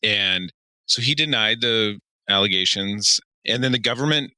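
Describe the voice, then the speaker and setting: A man's voice: fairly low pitch, normal speed, sharp tone, no echo, poor audio. A man co-hosting a podcast, telling stories and explaining in a casual way. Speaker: podcast co-host.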